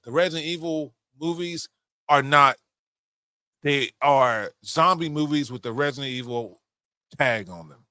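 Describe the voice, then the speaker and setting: A man's voice: fairly high pitch, a little slow, pushed and bright, no room sound. A young adult man co-hosting a podcast, giving opinions in casual talk and swearing freely. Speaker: podcast co-host. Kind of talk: casual film discussion.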